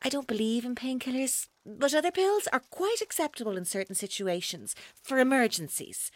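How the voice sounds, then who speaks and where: An actress playing a controlling, taunting female captor in an audio drama, speaking in a deliberate, coaxing way. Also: high-pitched, sing-song, no room sound. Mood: coldly coaxing. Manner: deliberate, coaxing, coldly mocking. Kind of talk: audio drama acting, monologue-like.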